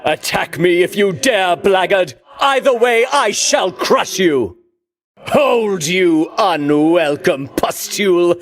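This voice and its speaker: A man's voice: high-pitched, animated, takes it slowly. An actor playing a pompous, vainglorious Greek champion in a video game, disdainful of his foes, speaking in grandiloquent, archaic phrases with theatrical delivery. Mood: haughty, taunting.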